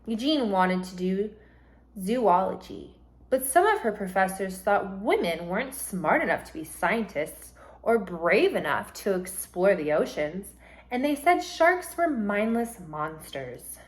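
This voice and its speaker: A woman reads aloud as a teacher would to young pupils, with a lively, swooping intonation. Her pace is slow and deliberate, and her delivery is instructive, made for children following a storybook and learning new words. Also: medium pitch. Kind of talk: storybook read-aloud with vocabulary explanations.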